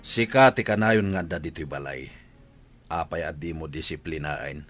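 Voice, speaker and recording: A man's voice: extremely low pitch, normal speed, a bit bright, faint music behind. A man playing a stern, resentful husband in an Ilocano radio drama, scolding, with an Ilocano accent. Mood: irritated.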